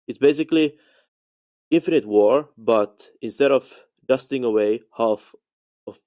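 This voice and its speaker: A man from Finland with a Finnish accent, leaving a halting, polite voice message with many restarts. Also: medium pitch, mellow. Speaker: voicemail caller. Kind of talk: recorded voice message.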